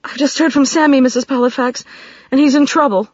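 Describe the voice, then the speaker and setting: A woman's voice: high-pitched, expressive, normal speed, no echo, voice pushed up. A woman reading an audiobook, voicing an anxious young woman who blurts everything out in a rush, pleading and upset. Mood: frantic. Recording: dry, slightly dull studio recording.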